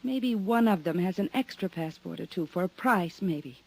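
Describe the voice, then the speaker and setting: An actress playing a weary, guarded woman stranded abroad in a 1950s radio drama, speaking softly and pleadingly. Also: lowish voice, animated, normal speed. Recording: old radio broadcast recording.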